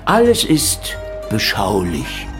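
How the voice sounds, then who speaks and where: An actor playing a cunning, hard-boiled private detective in a radio play, sarcastic, mock-polite and crude. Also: male voice, fairly low pitch, wildly swooping pitch, takes it slowly, over music, heavily acted. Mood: sardonic, pressing. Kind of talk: German radio play acting and first-person narration.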